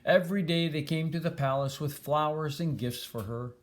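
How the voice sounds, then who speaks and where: An older man reading a children's storybook aloud in an expressive, grandfatherly way, stressing and stretching words. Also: a little high, moderate pace.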